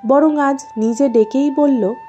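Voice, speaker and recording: A woman's voice: high-pitched, slow pace, mellow, lo-fi sound, faint music behind. A woman reading a scripted story as its narrator.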